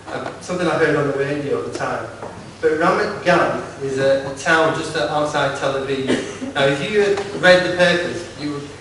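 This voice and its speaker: Lancashire bloke giving a campaign speech, slightly high voice, stumbles and repeats himself, far off mic in a big echoey hall. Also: male voice, fairly bright tone, a little hiss or hum. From Lancashire, England. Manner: halting, stumbles and repeats words, wry asides. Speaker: campaign speaker at a political meeting. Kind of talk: informal public speech. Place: large echoey meeting hall. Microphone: distant from the recording device.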